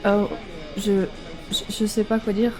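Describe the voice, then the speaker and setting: An actress playing an easygoing young colleague in an audio drama, casual and a little hesitant. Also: female voice, medium pitch, level tone, measured pace, a little mellow, soft background music, close to an everyday voice, low-quality recording. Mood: awkward. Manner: casual, tentative.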